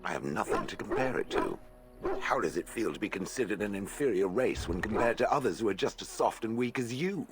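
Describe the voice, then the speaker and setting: A man voicing a haughty living stone golem in a video game, gravelly, dry and disdainful toward soft creatures. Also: lowish voice, animated, a little fast, over music, close to an everyday voice. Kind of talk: video game character acting.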